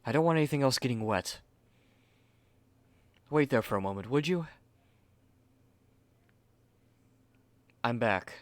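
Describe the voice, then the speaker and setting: Guy doing a snooty fussy young male manga artist, asmr roleplay, curt and precise, mid pitch, dry close sound. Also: lively intonation, normal speed, no echo, close to an everyday voice. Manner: curt, haughty, articulate. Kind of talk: ASMR roleplay spoken to the listener.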